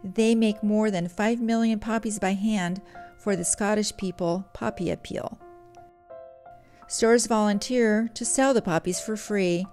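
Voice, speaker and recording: A middle-aged woman from Poland, narrating a craft tutorial in a measured, earnest voice-over. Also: medium pitch, steady tone, mellow, soft background music.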